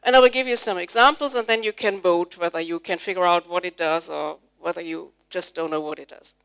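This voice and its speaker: A woman's voice: on the low side, brisk, gravelly, a bit bright, clear, resonant tone. A middle-aged woman whose first language is German, giving a live technical talk to a conference audience and polling the listeners with questions. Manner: explanatory, polls the audience with questions. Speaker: conference speaker, Java trainer. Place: conference hall before an audience.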